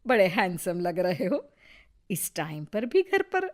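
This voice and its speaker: Woman voicing a nosy older auntie, mocking and falsely sweet, slow drawn-out talk, mid pitch. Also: very animated. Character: gossiping older neighbor's mother-in-law, two-faced.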